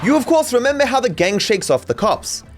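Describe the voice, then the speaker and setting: An Australian man reads a scripted comedic video essay in a high voice. His delivery is mock-serious and sarcastic, with very animated intonation. Faint music plays underneath. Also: a little fast. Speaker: video creator and narrator.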